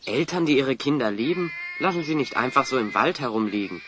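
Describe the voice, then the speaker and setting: A man's voice: mid-range voice, lively intonation, a little fast, background noise, lightly acted. A man playing an earnest, sensible fifteen-year-old schoolboy in a fantasy audio drama, reasoning things out as he speaks. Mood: concerned, decisive. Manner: explanatory, reasoning. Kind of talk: German audio drama acting.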